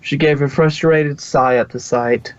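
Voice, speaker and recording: A man's voice: fairly high pitch, steady tone, unhurried, lo-fi sound. A man reading fan fiction aloud as the narrator, close to the microphone.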